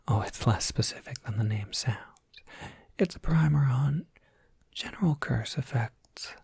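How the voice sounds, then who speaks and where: A kindly monster academy professor played by an ASMR performer speaks in a very breathy whisper with a gravelly crackle. The delivery is slow and gently wry, helpful like an academic advisor. It is recorded close and dry. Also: medium pitch, no echo, exaggerated voice. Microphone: close microphone, dry.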